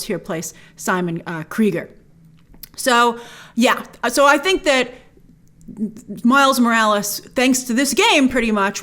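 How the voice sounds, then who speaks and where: A woman's voice: a little high, fairly bright tone. A woman hosting a review show, giving opinionated commentary straight to viewers and stressing key words emphatically. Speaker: host of a movie review show.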